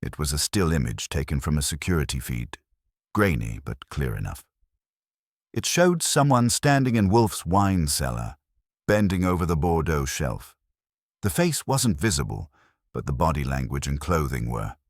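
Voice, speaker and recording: A man narrating a scripted detective story as an audiobook, in a measured, theatrical noir manner. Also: rumbling low, sing-song, no room sound, clean studio sound.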